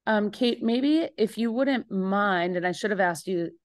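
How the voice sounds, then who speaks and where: A woman's voice: mid-range voice, expressive, a little slow. A woman moderating a casual meeting as a choir teacher, informal and encouraging in how she talks. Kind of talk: casual online meeting chat.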